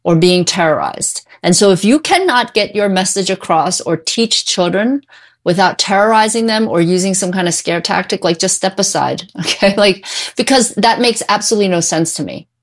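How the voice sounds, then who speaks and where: A woman's voice: mid-range voice, animated, moderate pace. A middle-aged woman giving emphatic, opinionated answers as a therapist guest in a conversational interview. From born in Korea, immigrated at age five. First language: English. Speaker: guest, mental health therapist.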